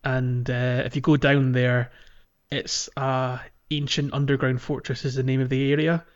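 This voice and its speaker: A man's voice: medium pitch, level tone, moderate pace. A man hosting a casual gaming podcast, talking in a rambling, explanatory way. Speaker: podcast host. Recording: dull lo-fi sound.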